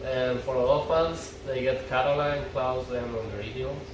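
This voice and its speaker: A man's voice: medium pitch, steady tone, brisk. A young man chatting casually and enthusiastically as a fan, rambling from one thought to the next. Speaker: fan discussing a TV series. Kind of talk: casual chat. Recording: roomy, distant mic with loud background noise.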